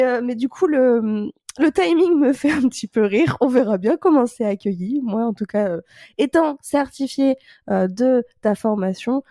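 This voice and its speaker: Mellow-voiced French podcast hostess. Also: female voice, a little high, dry recording, studio quality. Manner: chatty, laughs often, many fillers. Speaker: podcast host. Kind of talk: podcast interview.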